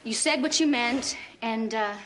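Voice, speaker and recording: Woman acting high-voiced, sardonic Southern sitcom woman, noisy soundtrack. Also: measured pace, lightly acted. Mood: indignant. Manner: drawling, folksy sarcasm. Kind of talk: multi-camera sitcom acting.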